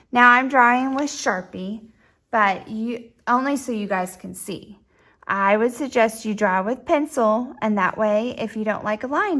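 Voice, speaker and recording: Lady talking to little kids, expressive, highish voice, takes her time. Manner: expressive, addressing young children. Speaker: storyteller and drawing teacher for children. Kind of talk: children's read-aloud and simple instruction.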